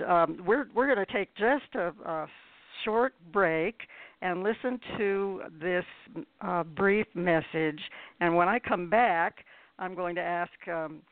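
A woman hosting a radio interview, speaking in a halting, hesitant way. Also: on the low side, no echo. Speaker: radio show host. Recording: tinny phone-like line.

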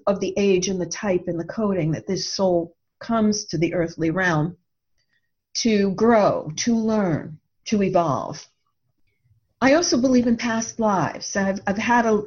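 A woman's voice: mid-range voice, lo-fi sound. A woman speaking as an author being interviewed, reflective and earnest, telling her story and voicing her own thoughts. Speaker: author and radio drama writer, interview guest.

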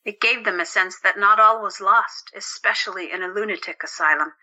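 A woman's voice: medium pitch, fairly quick, pushed and bright. A woman narrating a scripted story as the narrator of an audio drama.

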